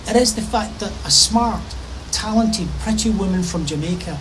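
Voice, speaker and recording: A man's voice: sits high, normal speed, noisy background. A middle-aged man talking to camera in an enthusiastic, casual way from a covered pavilion in a park. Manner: enthusiastic, gesturing with his hands while he talks. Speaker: vlogger.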